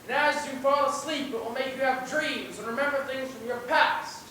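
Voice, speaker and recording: Casting: female student actor as a measured, formal scientist professor, high-pitched, heard from a distance on stage. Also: fairly bright tone. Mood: reassuring. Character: confident, formal professor running an experiment. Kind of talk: amateur student stage acting. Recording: echoey stage, distant mic, faint hiss.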